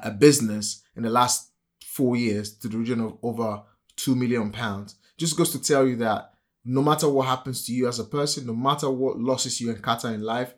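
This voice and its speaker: A man's voice: medium pitch, fairly bright tone. Upbeat Nigerian-born man co-hosting a podcast. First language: not English. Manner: upbeat, motivational, with frequent fillers. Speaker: podcast co-host. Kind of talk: motivational podcast talk.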